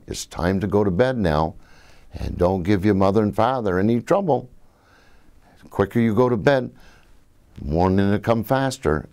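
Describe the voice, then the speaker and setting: An older man, a grandfather, reading a storybook to children in a theatrical, expressive way. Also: on the low side.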